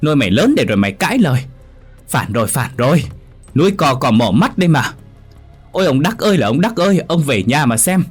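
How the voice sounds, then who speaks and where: A man's voice: fairly low pitch, brisk, faint music behind, natural voice. A man voicing a cruel, nagging stepmother who scolds and screeches. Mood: screaming. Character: shrill cruel middle-aged stepmother.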